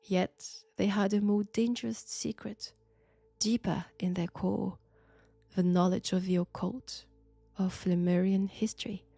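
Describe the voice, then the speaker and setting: A woman with a French accent playing a sinister, flirtatious archaeology professor possessed by an ancient god, deliberate and teasing in an intimate audio roleplay. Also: mid-range voice, fairly even intonation, creaky, warm tone, no room sound, soft background music. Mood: grave, ominous. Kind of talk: slow, intimate roleplay acting.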